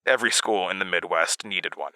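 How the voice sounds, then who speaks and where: A man's voice: low-pitched, a little fast, sharp tone, no echo. A man playing an earnest, rueful narrator of a horror audio drama, recounting his childhood in conversational, confessional asides. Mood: reflective, wry. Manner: conversational, hesitant asides to the listener.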